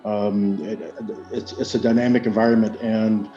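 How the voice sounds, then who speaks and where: An American man gives a panel answer in a measured, hesitant way, full of filled pauses. His voice is mellow and dark with little melodic movement, and the audio is muffled. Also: on the low side, flat delivery, faint music behind. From United States. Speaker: panelist from industry. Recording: muffled, echoey online audio.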